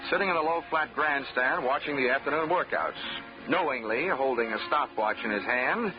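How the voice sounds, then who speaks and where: An American actor playing a wry, hard-boiled investigator in a 1940s radio drama, full of dry wisecracks. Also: male voice, medium pitch, moderate pace, close to an everyday voice. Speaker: detective narrator and lead character. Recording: dull 1940s radio recording with music.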